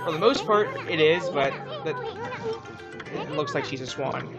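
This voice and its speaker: A woman's voice: low-pitched, lively intonation, normal speed, loud music behind the voice. A woman co-hosting a let's play, giving casual gameplay commentary in a hesitant, conversational way.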